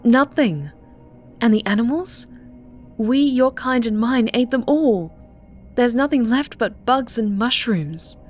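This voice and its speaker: A woman's voice: fairly high pitch, pitched above the performer's own voice. A woman voicing a stubborn, furious young captive girl in an audiobook, impassioned and emphatic.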